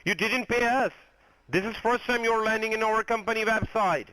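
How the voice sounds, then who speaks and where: A woman's voice: on the high side, normal speed, a bit bright, no room sound, rough recording. A woman working as a phone agent, arguing with a caller. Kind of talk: argumentative phone call.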